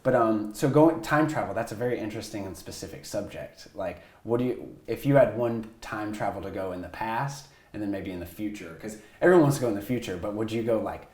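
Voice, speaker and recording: A man hosts a relaxed interview, asking long, meandering questions packed with "like" and "uh." His voice sits low. The room around him is echoey. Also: fairly quick, hardly any pauses. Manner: loose, rambling questions with many fillers. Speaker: podcast host. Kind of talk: casual interview.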